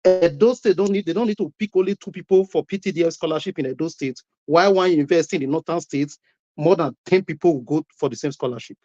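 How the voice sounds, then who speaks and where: A man's voice: a little high, fast, darkish tone. A Nigerian man calling into a debate show, arguing with repeated words and rhetorical questions. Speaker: caller. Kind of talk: heated call-in debate. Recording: lo-fi call audio.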